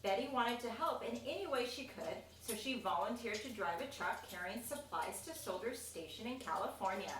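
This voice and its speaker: A woman reading a children's picture book aloud, expressive and chatty, with casual asides about the pictures. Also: mid-range voice, moderate pace, few pauses. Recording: echoey room, distant mic, faint noise.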